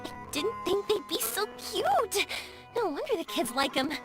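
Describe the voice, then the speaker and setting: An actress playing a bubbly, excitable young woman traveler in an anime-style game, gushing and eager. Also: extremely high pitch, measured pace, full character voice. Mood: delighted. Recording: music playing behind the voice.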